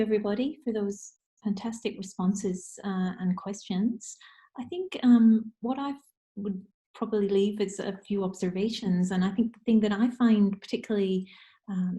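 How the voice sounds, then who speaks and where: A woman giving reflective, measured closing remarks as moderator of an online panel. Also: fairly low pitch, warm tone.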